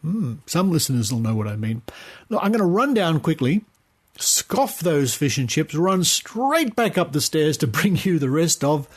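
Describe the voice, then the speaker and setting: A man's voice: on the high side, very animated, normal speed, husky. An Australian man hosting a podcast in a chatty, conversational way, given to rambling asides. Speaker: podcast host.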